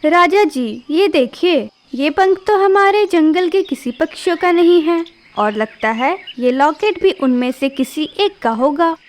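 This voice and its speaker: A woman's voice: sits high, expressive. A woman voicing a smart young female sparrow in a cartoon, speaking earnestly and politely. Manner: earnest, polite, quick-thinking.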